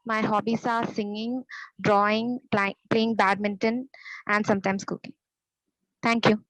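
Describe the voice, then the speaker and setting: A woman's voice: mid-range voice, flat delivery, unhurried, a bit bright, no echo, low-quality recording. A young woman from Kerala, giving a brief student self-introduction. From Kerala, India. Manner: plain, listing items.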